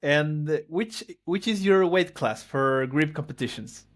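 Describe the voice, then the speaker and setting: A man's voice: fairly high pitch, unhurried. A man hosting an interview over a video call, asking brief, direct questions. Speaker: interview host.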